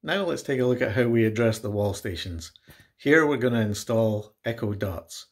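A man narrating an informal how-to tutorial, explaining things in halting, hesitant phrases. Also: medium pitch, expressive. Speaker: DIY home tech presenter.

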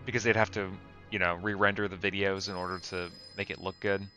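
A man's voice: low voice, level tone, fast, bright, forceful tone, faint music behind. A man talking informally through a casual how-to screen-capture video. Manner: informal, conversational. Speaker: tutorial video maker.

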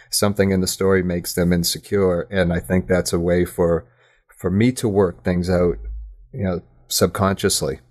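A man with a low-pitched voice talks as a guest in a conversational interview. He is reflective and thoughtful, often halting and restarting as he works out what he means. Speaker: interview guest, audio drama writer.

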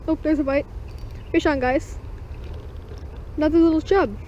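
An excited fishing vlogger narrating catches on a creek bank, calling out to the viewers as guys, recorded on a body-worn action camera. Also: high-pitched, moderate pace. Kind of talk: excited first-person fishing commentary. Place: outdoors at a small creek bank. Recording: dull lo-fi camera audio with faint noise.

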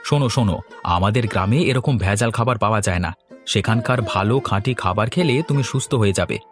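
A man's voice: on the low side, quick pace, over music, close to an everyday voice. A man voicing a proud, earnest village farmer and husband in a cartoon, firm and persuasive. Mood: coaxing.

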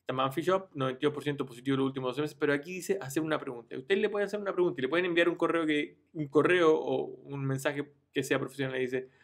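A man's voice: on the high side, fast talker, vocal fry, few pauses. Man explaining a screen tutorial. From Chile. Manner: informal, addresses viewers directly, frequent "ya" and restarts. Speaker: online business youtuber.

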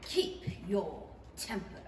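A languid, curt caterpillar gentleman, played by a woman, drawling extremely slowly with condescension. The voice is fairly high and swooping, in an old radio play recorded in an echoey hall. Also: animated. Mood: condescending. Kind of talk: 1930s radio play acting. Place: theatre stage, reading from script. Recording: echoey hall, distant, faint noise.

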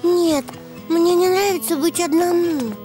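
Very high-pitched little donkey boy. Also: fairly even intonation, measured pace, mellow, faint music behind. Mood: pleading. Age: child character. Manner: childlike, simple short phrases, exclaiming. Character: little boy donkey, cheerful, curious and affectionate. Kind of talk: preschool cartoon dub acting.

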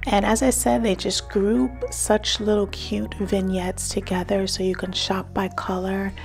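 A middle-aged woman narrating a shop-with-me vlog about home decor, enthusiastic and gushing over finds, sometimes dropping to a soft whisper. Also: mid-range voice, flat delivery, measured pace, creaky, faint music behind. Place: in a car, then walking through large stores.

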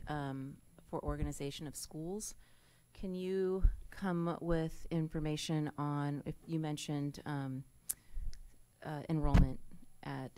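A woman at a public meeting asks politely and in a measured way for more information. Her voice is low and mellow, unhurried. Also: no room sound. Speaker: meeting participant.

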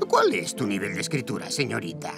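An actor playing a fussy, formal, easily flustered elderly tutor in a Castilian Spanish cartoon dub. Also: male voice, on the low side, wildly swooping pitch, fairly quick, creaky, over music, exaggerated voice. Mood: stern, formal. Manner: formal, theatrical, exclamatory. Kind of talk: animated series dub acting.

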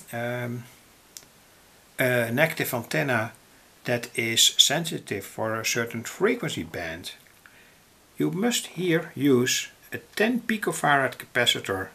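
Slow-talking Dutch-accented man, gravelly and husky. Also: mid-range voice, bright, forceful tone. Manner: halting, deliberate, explanatory. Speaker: electronics hobbyist presenter. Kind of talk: unscripted tutorial explanation. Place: indoors, at a table.

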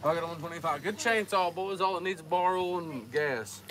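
High-voiced auctioneer chanting bids. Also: male voice, brisk, crowd noise. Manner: repetitive rolling bid calls, joking asides. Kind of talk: auction chant and sales patter. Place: outdoor auction yard at night. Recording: noisy outdoor phone recording.

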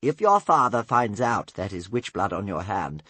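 A man voicing a grave, wise storybook advisor, solemn, admonishing and gently scolding. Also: fairly low pitch, very animated, moderate pace, husky, no room sound, heavily acted. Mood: stern warning. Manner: solemn, admonishing, rhetorical repetition.

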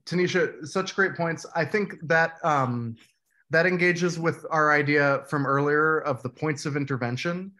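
A man in his thirties leading an online workshop on a video call, explaining points in a measured way while his voice goes hoarse. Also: a little high, bright, forceful tone. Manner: measured, explanatory, says "right?" often. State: losing his voice, clearing throat. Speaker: workshop facilitator. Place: room at home, indoors.

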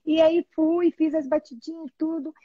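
A middle-aged Brazilian woman telling personal stories casually, in an animated, emphatic, chatty way over a video call. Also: sits high, normal speed, no echo. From Salvador, Brazil. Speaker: guest, therapy trainee telling her experience. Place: small room at home, indoors. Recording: dull, lo-fi video call audio.

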